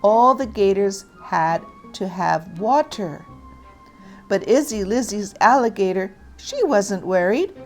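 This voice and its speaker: A woman reads a children's storybook aloud with deliberate, expressive phrasing. Her voice sits at a medium pitch, and faint music plays behind the narration.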